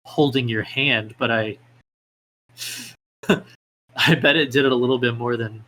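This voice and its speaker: A man speaking casually as a podcast guest, with halting, hesitant phrasing. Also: mid-range voice, fairly even intonation, sharp tone. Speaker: podcast guest, independent game developer. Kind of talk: casual interview chat. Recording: clear background noise, room ambience.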